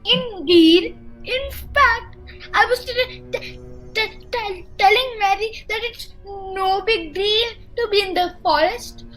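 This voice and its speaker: A woman's voice: extremely high pitch, slow, a bit bright, faint music behind, exaggerated voice, poor audio. A woman playing a nervous, polite young boy with a stammer in a radio play. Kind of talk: amateur radio play acting.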